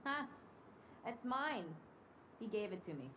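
A woman's voice: fairly high pitch, sing-song, measured pace, bright, forceful tone, muffled recording, heavily acted. An actress playing a frank, teasing young woman on patrol in a naturalistic video game drama. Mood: easygoing. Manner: teasing, playful, blunt.

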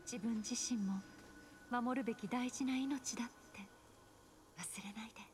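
A woman voicing a gentle, caring mother spirit in a game cutscene, soft and half-whispered. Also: a little high, measured pace, slight background noise, natural voice. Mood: tender. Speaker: game character. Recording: clear music behind.

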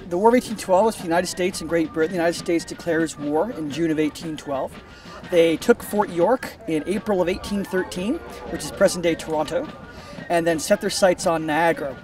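A man's voice: on the high side, moderate pace, darkish tone, recorded from a distance, soft background music, low-quality recording. A middle-aged man in costume explaining a battle's history outdoors at a reenactment event, talking casually with fillers. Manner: conversational, with fillers and restarts.

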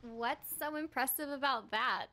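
A woman's voice: high voice, measured pace, sharp tone, slight background noise. A young woman game streamer giving casual, teasing reaction commentary in a wry, giggly manner. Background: game music.

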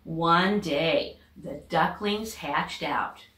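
A middle-aged American woman, a teacher, reading a children's storybook aloud with expressive narration. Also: sits low, drawn-out words. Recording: echoey home room recording.

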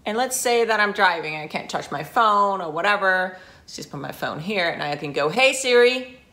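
A woman in her forties from Denmark, a guest talking casually to camera in her living room, friendly and animated. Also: medium pitch, moderate pace. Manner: friendly, chatty, animated with hand gestures. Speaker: guest, founder of a website.

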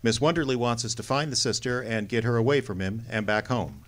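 An actor playing a cool, wisecracking private detective in a radio drama, with a wry, sardonic way of talking. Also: male voice, medium pitch, brisk, a bit bright, natural voice. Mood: cool, businesslike. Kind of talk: hard-boiled detective radio drama acting.

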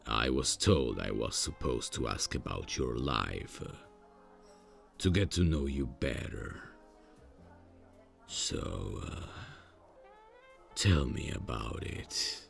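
An extremely deep-voiced male demon, acted by a man, in a fantasy audio roleplay. He talks very slowly and stiffly, deadpan and awkward yet menacing, with a gravelly creak in the voice. Music plays faintly behind him. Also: heavily acted. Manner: slow, stilted, halting, deadpan.

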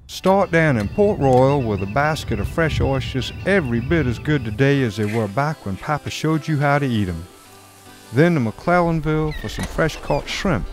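A man reading a folksy, homey commercial voice-over. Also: mid-range voice.

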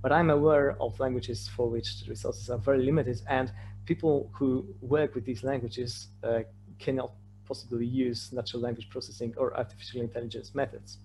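A man from Poland with a Polish accent, a native Polish speaker, presenting slides in a halting way with many repeated words. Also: medium pitch, level tone, darkish tone. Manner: hesitant, repeats words and stammers over phrase starts. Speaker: conference presenter. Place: remote online presentation with shared screen. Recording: clearly noisy online talk with hum.

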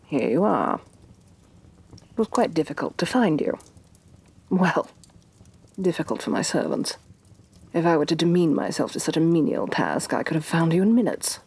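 A woman playing a haughty, domineering evil sorceress queen, soft-spoken and imperious in a fantasy ASMR roleplay. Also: low-pitched, slightly rough, full character voice. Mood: haughty, condescending. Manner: imperious, condescending, theatrically disdainful.

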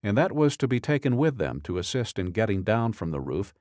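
A man reading a true-crime audiobook as scripted narration, in a measured, deliberate, matter-of-fact way. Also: fairly low pitch, very animated, a little mellow, dry recording, studio quality.

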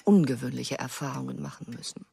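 Genteel, domineering wife for radio drama: low-key gravel, husky, effusive and affected. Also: female voice, a little fast, mellow, exaggerated voice. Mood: haughty. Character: genteel, effusive American wife reminiscing, dominating her husband. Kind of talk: German radio drama acting.

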